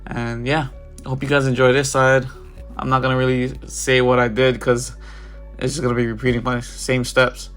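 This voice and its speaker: Male barber, congested, casual voice-over, flat delivery, faint background music. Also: mid-range voice, moderate pace. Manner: casual, loose, filler-heavy walkthrough. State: congested from a cold. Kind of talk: voice-over tutorial narration.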